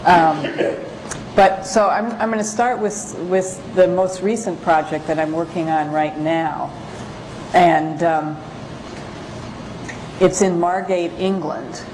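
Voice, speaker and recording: A woman photographer giving an informal lecture about her work, speaking haltingly with frequent pauses. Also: on the low side, steady tone, slight background noise, rough recording. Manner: halting, hesitant, pausing often. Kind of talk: informal slide lecture with read-out excerpts.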